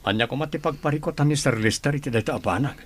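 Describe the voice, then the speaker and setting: A man's voice: mid-range voice, racing, no echo, lightly acted. A man playing a modest, patient and friendly young teacher in an audio drama. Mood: puzzled. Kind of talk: Ilocano audio drama acting.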